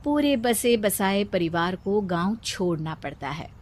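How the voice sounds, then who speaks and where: A woman's voice: mid-range voice, expressive, measured pace, no room sound. A woman reading scripted story narration for a cartoon.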